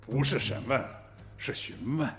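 A man playing a composed police investigator in a radio drama, talking in a measured, formal, insistent way. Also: mid-range voice, lively intonation, fairly bright tone, soft background music. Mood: firm.

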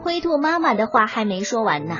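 A woman narrating a scripted children's story with expressive, acted storytelling. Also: a little high, fairly quick, dull audio, over loud music.

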